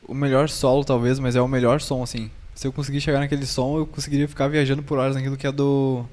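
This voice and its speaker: A young man talks quickly in a relaxed, reflective way. He co-hosts a casual podcast, with fillers that run through his speech. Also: mid-range voice. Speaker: podcast co-host. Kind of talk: casual chat.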